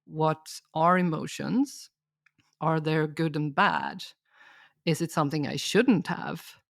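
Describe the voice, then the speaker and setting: Lady, a bit of vocal fry, calm explainer, dry close sound. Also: deep voice, slow, no room sound. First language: Swedish. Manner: thoughtful, explanatory, steady. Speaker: guest expert. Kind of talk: reflective podcast conversation.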